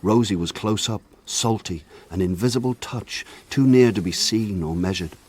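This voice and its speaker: An actor narrating a radio drama as a grown man remembering his village boyhood, in a lyrical, measured way. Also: on the low side, darkish tone, slight background noise, close to an everyday voice. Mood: wistful.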